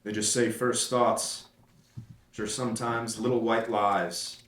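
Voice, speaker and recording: A man reading a screenplay part at a table read, playing a calm, detached actor and writer. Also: lowish voice, unhurried, reverberant, a little hiss or hum.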